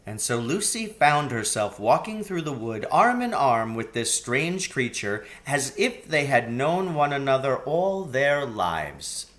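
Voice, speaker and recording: Unhurried male storybook reader, lively intonation. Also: mid-range voice. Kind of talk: scripted storybook narration.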